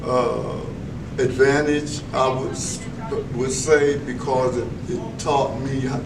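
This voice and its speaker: An older man speaks slowly in a level, even tone, pausing often with fillers as he gives formal, measured answers. He sits some distance from the mic in an echoey school room. Clear background noise hums under his voice. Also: medium pitch. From Texas. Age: 70s. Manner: measured, many filler pauses, formal phrasing. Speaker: veteran school educator, interviewee. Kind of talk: reflective interview answers.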